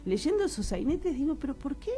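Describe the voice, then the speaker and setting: A woman with an Argentine Spanish accent talking casually as an interview guest, reflective and expressive, often searching for her words. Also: high-pitched, brisk. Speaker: guest, actress and playwright.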